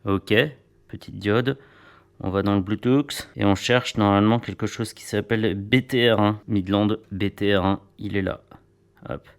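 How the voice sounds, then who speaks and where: A man's voice: lowish voice, fairly even intonation, moderate pace, clean tone. Chatty man reviewing motorcycle gear. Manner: chatty, playful asides and onomatopoeia. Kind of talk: casual product review.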